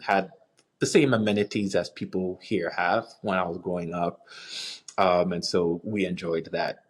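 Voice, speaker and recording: A young man from Kenya with a Kenyan accent, a nonprofit guest talking earnestly over a video call, with fillers and restarts along the way. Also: lowish voice. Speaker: nonprofit community engagement manager, guest. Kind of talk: casual interview conversation.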